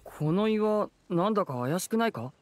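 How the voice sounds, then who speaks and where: An actor playing a youthful, easygoing adventurer in an anime-style fantasy game, gentle and conversational. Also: male voice, high voice, expressive, moderate pace. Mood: curious, easygoing.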